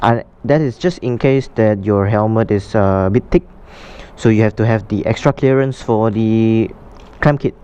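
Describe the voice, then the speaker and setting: A man presenting a casual, unscripted product unboxing for a vlog, explaining things in a halting way. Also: lowish voice, mellow, clear, resonant tone, muffled recording.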